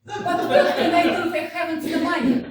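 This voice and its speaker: An amateur actress reading the part of a proud, reclusive widow, prim and reproachful. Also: female voice, very high-pitched, fairly even intonation, normal speed, a little hiss or hum. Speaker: amateur actor in a staged reading. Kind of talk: staged play reading. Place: small community theater stage. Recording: echoey hall from a distance.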